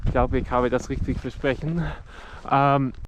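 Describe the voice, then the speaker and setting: A man whose native language is English, talking haltingly with many fillers as he vlogs from a mountain trail. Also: mid-range voice, fairly even intonation, low-quality recording. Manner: halting non-fluent speech with many fillers. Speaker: hiking vlogger. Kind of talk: casual walking vlog. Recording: outdoor ambient noise.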